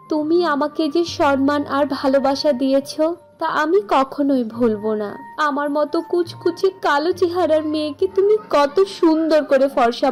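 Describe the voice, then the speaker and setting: A woman's voice: high voice, a little mellow, voice pushed up. A woman voicing a grateful, kind young woman in a cartoon fairy tale, earnest and emotional.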